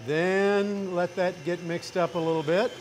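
A middle-aged man, a chef, talking through a recipe in a relaxed, chatty way in a restaurant demo kitchen. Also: high-pitched, measured pace, noisy background. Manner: relaxed, chatty step-by-step explaining. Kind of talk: cooking demonstration.

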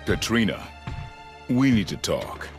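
An actor playing a big calm heroic golem in a video game, speaking in a measured, dry, understated way. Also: male voice, on the low side, creaky, full character voice. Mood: calm, firm. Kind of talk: video game cutscene acting. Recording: music under the voice.

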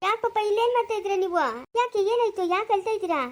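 A woman's voice: extremely high pitch, racing. A woman voicing a caring young daughter in a serial drama, soothing and coaxing with many questions. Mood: concerned, coaxing. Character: caring, brisk young daughter consoling her father.

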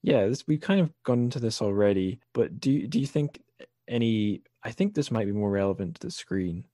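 A man with a low-pitched voice hosts a casual podcast interview. He chats and frames his questions informally, and the sound is dry, with no room around it. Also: animated, normal speed, warm tone, no echo. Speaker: podcast host.

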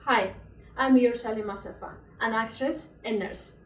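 Ethiopian-accented woman, 30s, brightish pitch, formal, distant roomy mic. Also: moderate pace. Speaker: actress and nurse. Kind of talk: prepared statement to camera. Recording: echoey room, mic at a distance.

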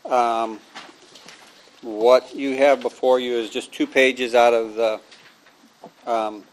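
Man, board member, monotone, plain-spoken, hesitant, many ums. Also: medium pitch, slow pace, low-quality recording. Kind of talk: committee report at a meeting. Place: large public meeting room.

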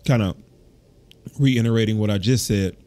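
Brief: male trainer podcast host, lowish voice, chatty and self-correcting, encouraging. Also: moderate pace, dark tone. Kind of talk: casual solo podcast reading an article with commentary.

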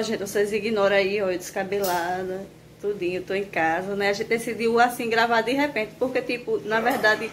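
A woman's voice: on the high side, slight background noise. Chatty woman vlogging. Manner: chatty, cheerful, addresses viewers. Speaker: channel host and wife. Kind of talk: casual vlog chat. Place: home kitchen. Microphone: phone camera, often a few meters off.

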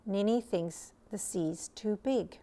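A woman voicing a calm, gently firm troll mother in a storybook, in a measured motherly way. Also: mid-range voice, lightly acted. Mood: gentle.

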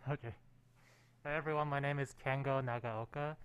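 A man's voice: medium pitch, steady tone, moderate pace, fairly bright tone, smooth. A man in his twenties from Alaska, a musician introducing himself casually through a face mask into a living-room mic. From Fairbanks, Alaska. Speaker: guest musician. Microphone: studio mic on a boom arm, through face mask. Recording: faint background room noise.

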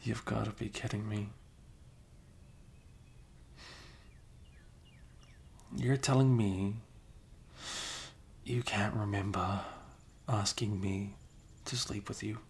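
A man's voice: steady tone, unhurried, creaky, pauses often. A young man playing a sleepy, caring, teasing best friend in an intimate ASMR roleplay, murmuring drowsily in casual slang. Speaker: audio roleplay performer. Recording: low rumbling background noise. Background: faint rumble and blanket noises.

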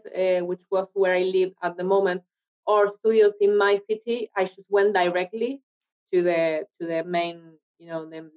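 A woman's voice: medium pitch, a little mellow. A woman from Argentina with an Argentine Spanish accent, chatting enthusiastically as a guest in a casual interview. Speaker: interview guest, actress and TV producer.

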